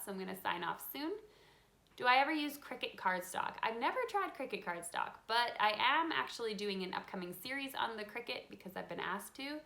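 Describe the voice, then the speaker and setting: A woman in her thirties hosts a relaxed live question-and-answer chat about card making from her home craft room, reading out viewer questions between frequent ums. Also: a little high, creaky. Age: 30s. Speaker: craft channel host. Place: home craft room with a desk, lit by a window.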